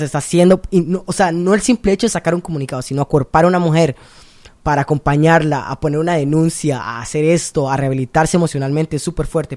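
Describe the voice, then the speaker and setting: A man from Honduras leads an interview with a warm, mellow voice. The sound is dry and close. He chimes in often with short agreements and speaks with emphatic conviction. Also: on the high side, no room sound. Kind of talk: conversational interview.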